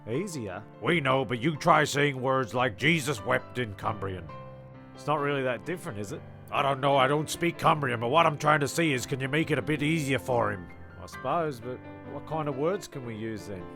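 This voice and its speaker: A man's voice: medium pitch, moderate pace, creaky, music in the background. A man performing rambling comic storytelling and sketch dialogue in a dry, exasperated way. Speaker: narrator and writer of a comedy show.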